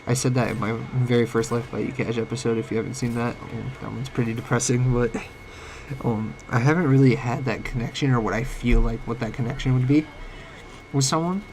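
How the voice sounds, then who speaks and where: A man's voice: mid-range voice, steady tone, darkish tone, low-quality recording. A young man with a cold talks late at night, his voice cracking now and then. He rambles and halts, full of fillers and restarts, into a home mic whose volume rises and falls. Faint hiss sits under the voice. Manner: rambling, halting, many fillers. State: sick, voice cracking. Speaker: vlogger. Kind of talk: late-night personal talk. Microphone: home microphone, uneven volume.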